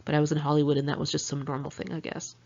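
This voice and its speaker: Low-pitched woman reading memoir, dull recording. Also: completely flat delivery, rapid-fire, gravelly, darkish tone. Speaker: author reading own memoir. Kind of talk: first-person memoir audiobook reading.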